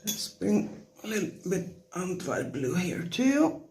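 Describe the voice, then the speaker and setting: Slow, creaky-voiced woman with non-native English. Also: on the low side, sing-song, darkish tone, slight background noise. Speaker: watercolour tutorial instructor. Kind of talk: relaxed painting tutorial, talking while working.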